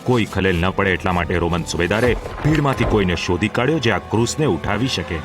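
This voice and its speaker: A man's voice: deep voice, animated, a little fast. A man narrating a scripted, dramatic Bible story as the narrator of an audio drama. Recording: music behind the narration.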